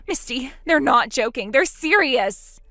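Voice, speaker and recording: A woman's voice: sits high, lively intonation, a little slow, bright, forceful tone, no echo, clean studio sound, heavily acted. A woman voicing a frightened young woman trapped before a forced wedding, pleading and panicky. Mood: panicked.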